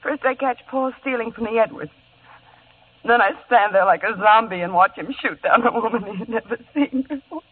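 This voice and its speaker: Actress as an anxious young sister; high, distraught and pleading; thin, lo-fi 1950s broadcast sound. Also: female voice, moderate pace, lightly acted. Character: anxious young woman, protective big sister. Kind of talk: 1950s radio acting. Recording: tinny old radio broadcast with faint hiss.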